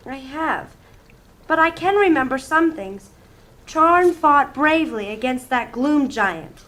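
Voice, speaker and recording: A woman's voice: high-pitched, lively intonation, slow, a bit bright, exaggerated voice. An actress playing a waifish, earnest elven woman in a fantasy audio drama, speaking in a slightly stilted, formal way.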